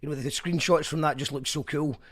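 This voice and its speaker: A man's voice: on the high side, steady tone, fast talker, husky. A man hosting a radio interview, chatty and reading out listener messages. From Scotland. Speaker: radio host. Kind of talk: casual interview chat.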